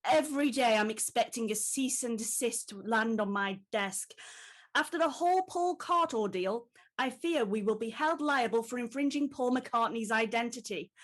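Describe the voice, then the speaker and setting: A woman's voice: fairly high pitch, a little slow. A woman playing a self-important, put-upon boss with a haughty, long-suffering, melodramatic manner in a comedy drama. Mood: exasperated.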